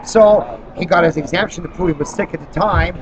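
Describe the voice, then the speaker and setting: A man hosting an informal on-the-spot interview, telling stories in an animated way and acting out quoted dialogue. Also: sits high, brisk, background noise. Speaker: interviewer and activist introducing a guest. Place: busy expo venue. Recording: music behind the voice. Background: music.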